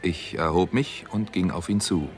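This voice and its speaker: A man acting a sober doctor who narrates his own murder case. His voice is very low and his telling is measured and dramatic, in the manner of a 1960s German radio thriller. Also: a little mellow, lightly acted. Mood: grave, tense. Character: American doctor Steve Mason, sober crime-story narrator. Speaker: first-person narrator and lead actor.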